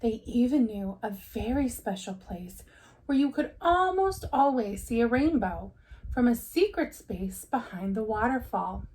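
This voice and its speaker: A woman narrates a children's storybook in the style of a teacher reading aloud. Her voice sits fairly high. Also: lively intonation, measured pace, darkish tone, low-quality recording.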